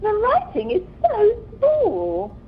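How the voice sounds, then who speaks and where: A woman acts out a fretful old lady in an amateur radio comedy. Her very high voice swoops wildly, over a muffled line. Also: wildly swooping pitch, takes it slowly, heavily acted. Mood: fretful, plaintive. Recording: muffled conference call, faint noise.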